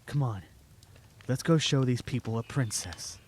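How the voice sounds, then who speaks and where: An actor playing an earnest, dutiful young knight in a fan audio drama. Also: male voice, medium pitch, unhurried, darkish tone, slightly rough. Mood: reassuring.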